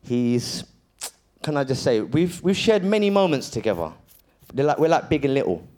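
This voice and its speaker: A man's voice: medium pitch, big pitch swings, normal speed, a little mellow. A man introducing guests on stage, playful and joking, tripping over names. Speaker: event host. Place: stage before a live audience.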